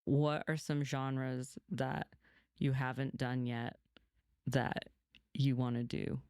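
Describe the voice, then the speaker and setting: Crisp, dry-voiced male podcast host. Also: medium pitch, level tone, slow pace, a little mellow, no room sound. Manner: polite, inquisitive. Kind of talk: interview questions.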